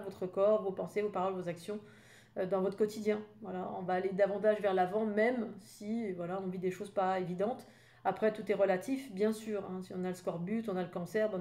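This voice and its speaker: A woman's voice: medium pitch, dark tone. A French woman in her fifties, a spiritual coach talking casually in a rambling, chatty way with many fillers and asides. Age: 50s. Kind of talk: casual spoken monologue.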